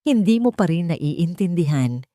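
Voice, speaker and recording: A woman's voice: lowish voice, big pitch swings, a little slow, warm tone, dry recording, studio quality. A woman voicing a proud, indignant young working woman in a dramatic audio story. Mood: bitter, hurt.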